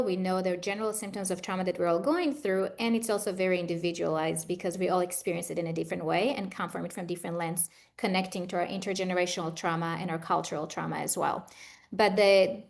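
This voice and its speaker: A middle-aged woman from Israel with an Israeli accent, giving conversational, explanatory answers as an expert interview guest. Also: on the low side. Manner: explanatory, advising. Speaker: guest expert, trauma therapist.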